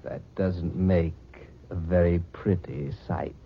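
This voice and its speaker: A man's voice: sits low, fairly even intonation, slow, gravelly, exaggerated voice. An actor playing a mysterious, grimly insistent masked man in a 1940s radio drama, speaking with solemn deliberation. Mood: solemn, ominous. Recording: dull 1940s radio broadcast recording.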